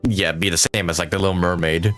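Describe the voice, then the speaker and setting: A man's voice: low-pitched, fairly even intonation, fast, fairly bright tone. A man giving casual live gameplay commentary as a streamer, chatty and rambling, with a lot of "like". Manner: chatty, rambling, chuckles often, says like a lot. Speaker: game streamer. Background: game music.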